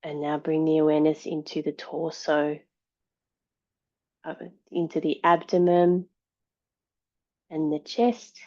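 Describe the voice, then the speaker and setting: A woman yoga teacher giving guided meditation and yoga instruction in a calm, measured, soothing way. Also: deep voice, dull audio.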